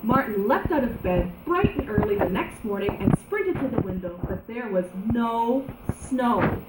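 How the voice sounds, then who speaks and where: A woman narrating a children's story aloud in an animated, dramatic way, drawing out words for emphasis. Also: fairly high pitch, unhurried. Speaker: storybook reader. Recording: roomy echo, background noise.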